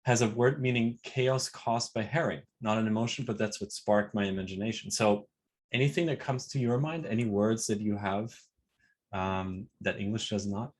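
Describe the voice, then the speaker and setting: A man hosting an online interview, asking questions in a halting way with fillers and restarts. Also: on the low side, fairly even intonation, a little hiss or hum. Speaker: host. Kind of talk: interview host.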